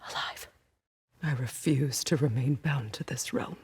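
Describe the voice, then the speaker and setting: An actress playing a proud, wounded, vengeful Norse goddess queen in a video game, speaking with imperious, deliberate intensity. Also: a bass voice, husky, heavily acted. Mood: quiet menace. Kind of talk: dramatic video game acting.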